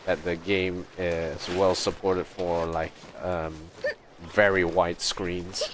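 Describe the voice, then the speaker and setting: Deep-voiced, slow-paced man streaming a game. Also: little pitch movement, noisy background. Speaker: livestreamer. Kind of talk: casual gaming livestream commentary.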